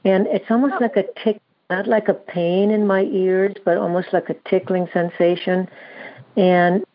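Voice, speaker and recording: A woman calling in to a show, speaking hesitantly with a slightly raspy voice and many fillers. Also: mid-range voice, steady tone, a little slow. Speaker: caller. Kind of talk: call-in conversation. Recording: muffled lo-fi audio.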